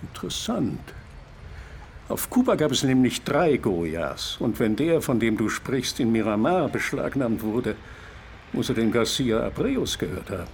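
A man's voice: mid-range voice, sing-song, a little slow, slight background noise. An actor playing an elderly, erudite Cuban art critic in a German radio drama, musing and paternal as he savors his descriptions. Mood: enthusiastic. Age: older.

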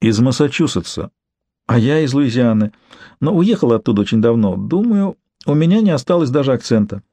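A man's voice: medium pitch, animated, a little fast, warm tone, dry recording. A man reading the part of a polite, slightly coquettish married woman in an audiobook novel. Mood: chatty.

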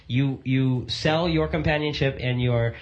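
A man's voice: medium pitch, unhurried, highs cut off. A man co-hosting a casual radio chat show who rambles and asks listeners questions.

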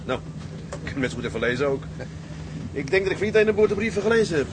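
A man's voice: on the high side, sing-song, fast talker, noisy background. An actor playing an earnest ship's first mate, a proud and anxious new father, in a radio drama. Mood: wistful.

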